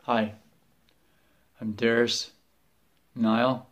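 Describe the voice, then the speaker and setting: A man in his thirties from northern Minnesota, telling his story for a documentary in a halting, self-deprecating way, recorded on a webcam in a small room at home. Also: medium pitch, flat delivery. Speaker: aspiring actor interviewee. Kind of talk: candid documentary testimony.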